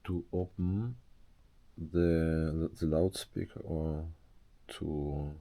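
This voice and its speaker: A man's voice: a bass voice, steady tone, drawn-out words, dark tone. A man giving a casual, unscripted show-and-tell as a hobbyist presenter, speaking hesitantly and often searching for words.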